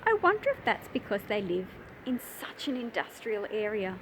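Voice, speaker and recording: Children's book presenter, female, 30s. Animated, higher-pitched, expressive explaining, recorded on a phone amid clear ambient noise. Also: normal speed. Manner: expressive, addressing children directly with emphatic phrasing. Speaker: host of a children's picture book channel. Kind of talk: explanatory presenting to young readers. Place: large industrial hall with old machinery.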